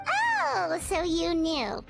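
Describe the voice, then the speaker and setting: Squeaky, animated little fairy. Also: female voice, drawn-out words, gravelly, over music, heavily acted. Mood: eager, expressive. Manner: childlike, expressive, blurting. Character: tiny excitable, outspoken floating fairy companion. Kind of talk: video game voice acting.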